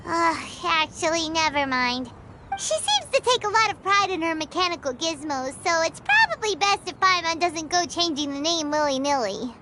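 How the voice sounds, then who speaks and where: A woman voicing a cheeky, excitable little fairy companion in a video game. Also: very high-pitched, moderate pace, noisy background. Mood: curious, cheeky. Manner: excitable, refers to self by name. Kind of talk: scripted video game character dialogue.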